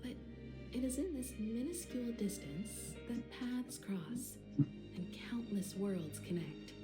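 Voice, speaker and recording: A woman voicing a wise, gentle mentor in a video game, speaking in a composed, philosophical manner. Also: fairly high pitch, sing-song, slow pace. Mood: solemn, reflective. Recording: game audio under music.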